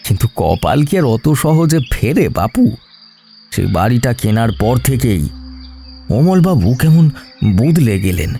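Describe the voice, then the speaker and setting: A man playing a middle-aged village gentleman in a horror audio story, grave and fatherly, speaking slowly with pauses. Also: medium pitch, sing-song, vocal fry, soft background music, heavily acted. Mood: grave, knowing. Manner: slow, grave, pausing. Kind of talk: dramatic Bengali horror audio story acting.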